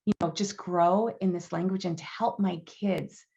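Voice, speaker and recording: A woman's voice: on the low side, fairly even intonation, moderate pace, gravelly, warm tone, muffled recording. A woman in her thirties hosting a live online Q and A, upbeat and encouraging, with plenty of ums and likes. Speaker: language program host. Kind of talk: live webinar Q and A.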